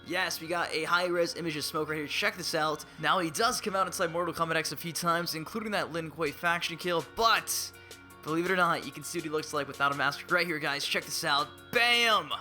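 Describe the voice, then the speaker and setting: A young man hosting casual gaming commentary, excitable and hype-driven, his voice slightly raspy as it recovers. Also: fairly high pitch, fairly quick, faint music behind. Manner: excitable, hype-driven, laughs and blurts out reactions. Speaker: gaming YouTuber.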